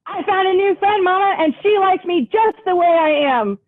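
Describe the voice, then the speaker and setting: A woman voicing a bouncy, gentle young male bear cub in a storybook reading, high and eager. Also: lively intonation, normal speed, narrow, tinny audio. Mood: happy.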